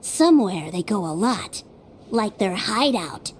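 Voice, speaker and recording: A woman voicing a gentle, analytical non-human detective who reasons aloud, in video game dialogue. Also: medium pitch, lively intonation, unhurried, slight background noise, lightly acted. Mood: thoughtful. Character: young female Melusine detective, analytical, gentle. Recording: game audio with soft music.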